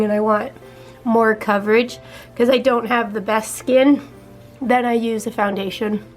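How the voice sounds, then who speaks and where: A young woman chatting casually while doing her makeup, her voice a little raspy, walking through each step in a relaxed way. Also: a little high. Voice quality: slightly raspy. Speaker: beauty vlogger.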